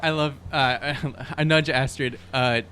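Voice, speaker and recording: A man playing a tabletop role-playing game, joking casually in a halting way with stammered repeats. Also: medium pitch, fairly even intonation, pushed and bright, slight background noise. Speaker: player in tabletop game podcast.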